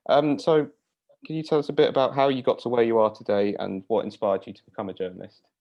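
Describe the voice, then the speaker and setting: A man's voice: medium pitch, fairly even intonation, quick pace. A man hosting an interview, putting polite, semi-formal questions on a slightly dull recording. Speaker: interviewer, host.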